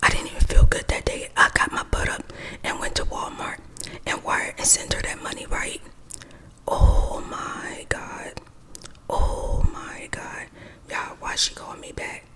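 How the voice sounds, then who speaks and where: A woman's voice: unhurried, fairly bright tone. A woman whispering a gossipy storytime while she does her makeup, close to the mic. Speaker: vlogger.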